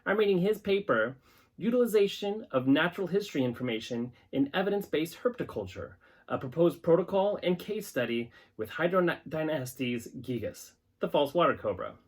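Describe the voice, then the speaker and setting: A man's voice: on the high side, animated, a little fast. A man hosting a hobby channel, talking to viewers and reading aloud from written material. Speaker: host of a reptile-keeping channel. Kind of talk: casual talk to viewers and reading a scientific paper aloud.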